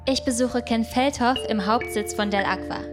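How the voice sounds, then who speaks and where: A woman's voice: fairly high pitch, moderate pace, over music, close to an everyday voice. An actress playing an inquisitive woman reporter in a radio drama documentary. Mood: probing, composed.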